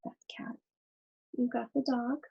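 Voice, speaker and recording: A woman teacher reading a picture book aloud to children, expressive and speaking directly to her young listeners. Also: on the high side, slow, creaky, breathy edge, muffled recording. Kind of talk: children's read-aloud with chatty asides.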